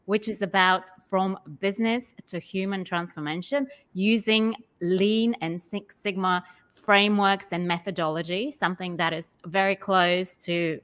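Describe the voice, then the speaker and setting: A woman's voice: mid-range voice, fairly bright tone. Upbeat woman hosting a live chat. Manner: upbeat, welcoming, with frequent ums. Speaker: host, business change coach. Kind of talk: live online interview hosting.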